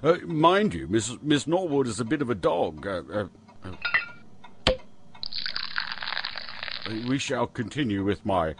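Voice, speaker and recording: A man's voice: medium pitch, big pitch swings, measured pace, long pauses, noisy background. A doddering British Prime Minister for a comedy audio play. He is pompous and lecherous, with an English accent, swooping intonation and hesitant mumbles. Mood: flirtatious, flustered. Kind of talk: comic audio drama acting.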